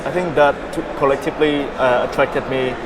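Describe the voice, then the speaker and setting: A young man from Vietnam, a startup founder, answering interview questions in a halting way with many fillers and repeated words. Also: mid-range voice, fairly even intonation, very noisy.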